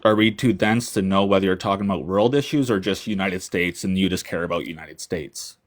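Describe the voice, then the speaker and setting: A man with a very deep voice argues on a livestream. He presses his points through sarcastic, pointed questions. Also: a little fast. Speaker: livestream caller. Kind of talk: argumentative debate.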